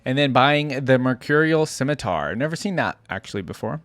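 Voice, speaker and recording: A man's voice: mid-range voice, expressive, brisk, a bit bright, dry recording. A man hosting a casual reaction to game voice lines, with an amused, easygoing manner. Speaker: host of a game lore reaction channel.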